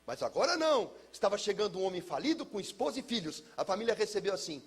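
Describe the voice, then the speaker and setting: A Brazilian man speaking as a pastor, telling a personal testimony in an emphatic, theatrical way with deliberate repetition. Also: high-pitched, big pitch swings. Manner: emphatic, theatrical, uses pauses and repetition for effect. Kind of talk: personal testimony, dramatic storytelling to listeners.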